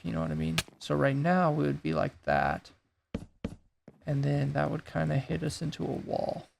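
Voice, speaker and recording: A man with a raspy voice that is going hoarse, thinking aloud in a laid-back way while he builds in a game. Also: on the high side, noisy background. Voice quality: gravelly, raspy. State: losing his voice. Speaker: gaming YouTuber. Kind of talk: casual gameplay commentary.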